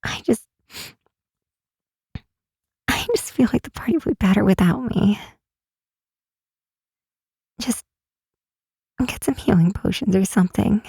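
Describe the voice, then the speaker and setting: A woman playing a timid, self-doubting young fantasy healer in an ASMR roleplay, speaking softly and haltingly in a breathy half-whisper. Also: medium pitch, no echo, studio quality, full character voice. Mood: anxious, self-doubting. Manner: halting, sighing, unsure delivery. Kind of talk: ASMR roleplay, intimate and hesitant. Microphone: close, dry microphone.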